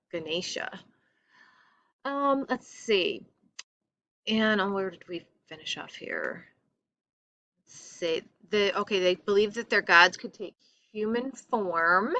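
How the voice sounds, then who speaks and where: A woman reading a lesson aloud as a teacher, in a careful and deliberate manner. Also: lowish voice, pushed and bright, lo-fi sound. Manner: careful, deliberate reading, stumbles over foreign names. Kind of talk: read-aloud lesson narration.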